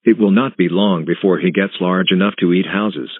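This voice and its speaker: Deep phone-band male alert announcer. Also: steady tone, breathy edge, dry recording. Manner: formal, impersonal, measured. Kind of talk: scripted emergency broadcast announcement. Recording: tinny phone-like alert broadcast audio.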